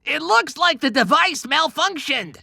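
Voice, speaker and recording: An actor playing an excitable, stubborn, wisecracking sidekick of Santa's in an audiobook drama, with a creaky, bright voice. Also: sits high, a little slow, no room sound, clean studio sound, exaggerated voice. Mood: assured. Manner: sarcastic, animated, mouthy. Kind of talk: cinematic audiobook character acting.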